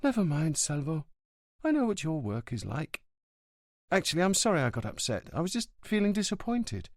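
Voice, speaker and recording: A man voicing an anxious, affectionate young woman in an audiobook, raising his voice to a soft, pleading tone. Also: low-pitched, sing-song, moderate pace, no room sound, clean studio sound, pitched above the performer's own voice, heavily acted. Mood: tired.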